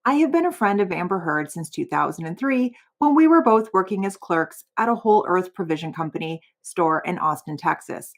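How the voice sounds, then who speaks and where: A woman reads a formal written statement aloud as a voiceover. Her voice sits a little lower than most women's. The delivery is that of someone reading a legal document word for word. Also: expressive, brisk, few pauses. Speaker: voiceover reader.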